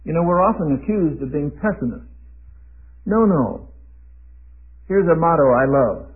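An elderly man in his eighties delivers a sermon in a husky, somewhat high voice. He speaks with emphasis and tosses questions out for the congregation to answer. The sound is thin and tinny, as if heard over a phone line. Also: lively intonation, measured pace. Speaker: preacher.

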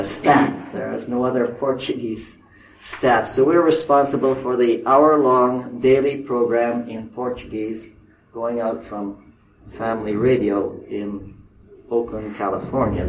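A man's voice: mid-range voice, a little hiss or hum. Older man in a hall. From Northern Ireland. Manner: halting, many fillers and restarts. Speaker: missionary speaker. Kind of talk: addressing a conference audience. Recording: roomy hall recording from a distance.

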